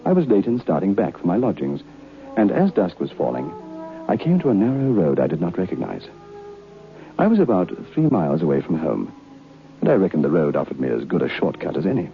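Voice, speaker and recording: On a dull old radio recording with faint music, a reflective gentleman tells his story in a deep, widely swooping voice. His manner is measured and literary, as in a radio drama. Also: very animated. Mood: wistful, foreboding. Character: reflective educated gentleman recalling a strange episode of youth. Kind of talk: old radio drama first-person narration.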